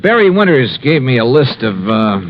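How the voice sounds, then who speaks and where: A male actor as a shrewd hard-boiled detective from 1950s radio drama. He is brisk and probing, with clipped, wry delivery and very mobile intonation. The sound is dulled, with faint old-recording noise. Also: mid-range voice, sing-song, lo-fi sound, lightly acted. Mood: probing, thoughtful. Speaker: lead actor and narrator.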